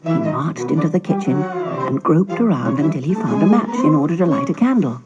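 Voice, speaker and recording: A woman narrating a children's fairy tale in an expressive, dramatic storytelling manner. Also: sits low, brisk, highs cut off. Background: music.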